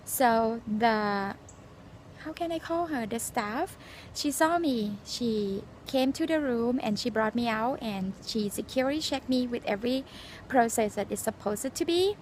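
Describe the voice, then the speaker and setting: A woman in her forties from Thailand, speaking English with a Thai accent as a second language, telling her exam story in an earnest, halting way with many fillers. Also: on the high side, expressive, a little hiss or hum, low-quality recording. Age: 40s. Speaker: nurse sharing exam experience. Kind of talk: casual personal vlog, storytelling and advice.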